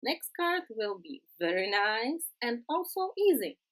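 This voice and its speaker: A woman with a Czech accent, a non-native English speaker, narrating a card-making tutorial in a halting way with many pauses. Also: a little high, sing-song. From Czech Republic. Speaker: crafting tutorial host.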